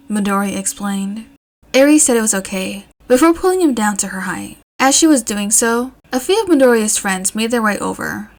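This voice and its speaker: A woman reading a scripted story aloud as an audiobook narrator. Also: a little high. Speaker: fan fiction audiobook narrator.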